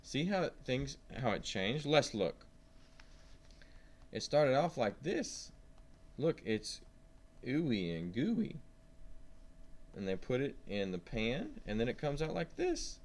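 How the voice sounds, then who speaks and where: A man's voice: mid-range voice, big pitch swings, slow pace, long pauses, darkish tone. A man reading a science lesson aloud to young children, stressing key words and asking simple questions as he goes. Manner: simple questions and prompts to the listener.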